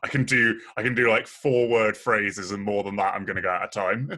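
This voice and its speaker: Very deep-voiced young Englishman. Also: little pitch movement, fairly quick, pushed and bright. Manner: laughs often, self-deprecating. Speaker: podcast guest, bassist. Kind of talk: casual chat.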